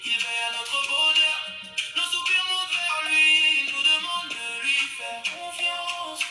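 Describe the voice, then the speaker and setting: A woman reading a devotional text in a slow, solemn, exhorting way. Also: high voice, sharp tone, reverberant, faint music behind. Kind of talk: recorded devotional reading over music.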